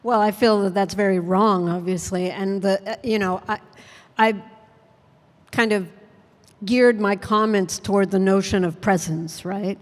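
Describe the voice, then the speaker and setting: Woman, federal defense panelist, earnest, filler-heavy, mid-pitched, long hall reverb. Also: steady tone, measured pace. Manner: earnest, thoughtful, with frequent fillers. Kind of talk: panel discussion answer. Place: conference hall.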